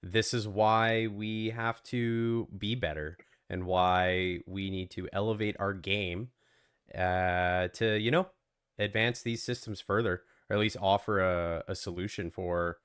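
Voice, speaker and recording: A man co-hosting a podcast in casual chat, his voice hoarse from being sick, riffing in a laid-back way. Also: on the low side, fairly even intonation, unhurried, a bit bright, no echo, clean studio sound. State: hoarse from illness. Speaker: podcast co-host.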